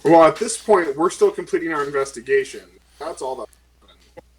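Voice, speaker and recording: Higher-pitched man at a gaming table. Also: fairly quick. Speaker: tabletop game player. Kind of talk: casual in-character discussion at a tabletop game.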